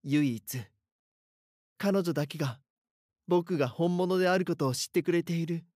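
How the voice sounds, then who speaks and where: An actor playing a frightened schoolboy who narrates a horror audio drama in an earnest, dramatic way. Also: male voice, fairly high pitch, moderate pace, a little mellow, dry recording, clean studio sound, natural voice. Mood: somber, uneasy. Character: frightened schoolboy haunted by his doppelganger. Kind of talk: first-person scripted horror narration.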